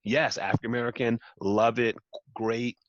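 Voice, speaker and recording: A man's voice: mid-range voice, level tone, moderate pace, dry recording, dull audio. A man speaking earnestly as an interview guest, building to emphatic repeated points. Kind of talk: reflective interview talk.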